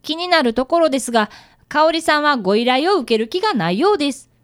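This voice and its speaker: A woman's voice: high voice, expressive, fast talker. A woman playing an earnest, very polite schoolboy apprentice detective who narrates a radio drama. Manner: very polite, formal speech.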